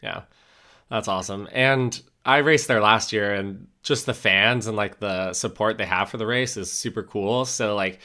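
A man's voice: on the low side, normal speed, sharp tone. A young man hosting a sports podcast, chatting casually and enthusiastically, full of "like" and "super". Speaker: podcast host. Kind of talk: casual interview chat.

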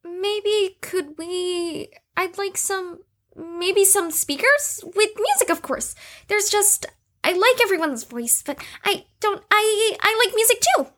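A woman playing a shy, hesitant, gentle young psychiatric patient in an audio drama, speaking haltingly and trailing off. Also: very high-pitched, heavily acted. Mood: timid, hesitant.

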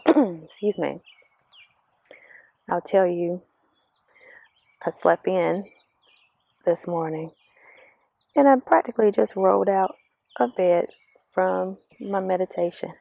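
A woman giving a reflective, earnest motivational talk outdoors, speaking slowly and asking the listener questions, her voice slightly raspy. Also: fairly low pitch, dark tone, no echo. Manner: slow, earnest, coaching, asks the listener questions. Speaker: vlogger giving spiritual encouragement. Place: outdoors among birds. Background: birdsong.

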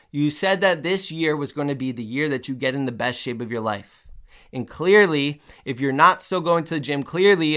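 A man's voice: fairly high pitch, fairly bright tone. A man hosting a fitness podcast, talking with emphatic energy and repeating key points, sounding a little nasal while getting over the flu. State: recovering from the flu. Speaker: podcast host. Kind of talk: motivational fitness podcast talk.

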